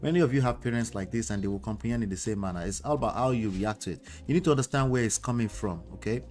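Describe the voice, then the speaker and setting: A man's voice: on the low side, rapid-fire, darkish tone, faint music behind. A man with a Nigerian accent, a relationship coach giving casual commentary on viewer comments, speaking emphatically and often ending points with tag questions. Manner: emphatic, with frequent tag questions like "okay?" and "you know".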